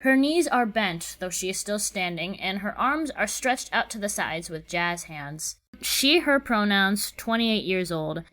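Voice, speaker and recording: A woman narrates a scripted casting call at an average pitch for a female voice. Her delivery is measured and methodical as she goes through each description. Her intonation moves up and down a lot, keeping the reading lively.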